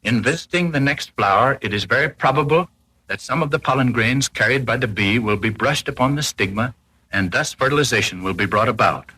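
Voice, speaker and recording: A man with a husky, slightly rough voice at a middling pitch narrates an educational film in 1940s style. He reads from a script formally, explaining each point carefully like an instructor. The sound is that of an old film soundtrack from the period. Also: a little fast, pushed and bright, low-quality recording.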